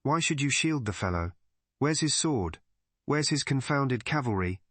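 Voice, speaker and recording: A man's voice: medium pitch, animated, moderate pace, fairly bright tone, dry recording, pitched above the performer's own voice. A male narrator acts an older lord in a mystery audiobook, an angry and intimidating father. He scolds in a thunderous undertone, recorded dry. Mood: furious.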